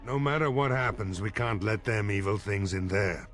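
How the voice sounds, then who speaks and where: An actor voicing a gruff, weary, plain-spoken rustic village mayor in a fantasy video game. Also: male voice, lowish voice, normal speed, a bit bright, soft background music, lightly acted. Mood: earnest, dogged.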